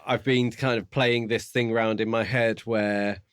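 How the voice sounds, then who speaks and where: A man's voice: mid-range voice, fairly even intonation, moderate pace, clear, resonant tone, no room sound, studio quality. A man co-hosting a podcast, casually discussing a book and theorising aloud in a tentative, musing way. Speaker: podcast co-host.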